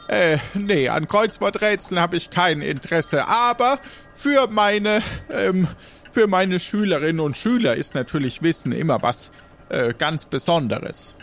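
A man's voice: sits high, a little slow, a bit bright, faint music behind. A man playing a quirky, self-important old pirate instructor in an improvised audio adventure, speaking in a hesitant, rambling, mock-dignified way. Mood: evasive, haggling.

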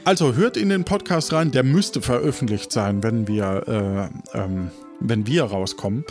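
A man's voice: mid-range voice, unhurried, a little mellow, faint music behind. A man hosting a role-play podcast as game master, switching between casual chat and narration.

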